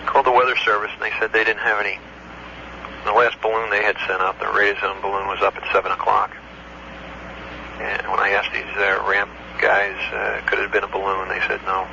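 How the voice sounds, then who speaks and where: Guy with a low creaky voice, control tower supervisor, explaining stuff haltingly over a muffled old phone tape. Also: completely flat delivery. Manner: matter-of-fact, halting recall with technical detail. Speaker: air traffic control supervisor. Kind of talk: recounting an incident on a phone call. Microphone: telephone. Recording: noisy muffled old taped phone call.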